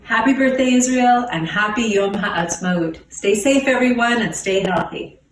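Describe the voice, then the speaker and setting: Woman giving a measured video greeting. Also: on the high side, roomy sound, far from the mic, poor audio. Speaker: executive director.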